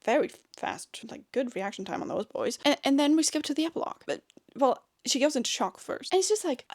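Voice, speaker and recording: A woman with a fairly high voice who speaks English as a second language, vlogging in a casual, chatty way. She tells things like stories, with little asides and chuckles, in a dry, close-sounding recording. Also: sing-song, fairly quick, a little mellow, no room sound. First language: not English. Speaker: book and sewing vlogger. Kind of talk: casual chatty review to viewers.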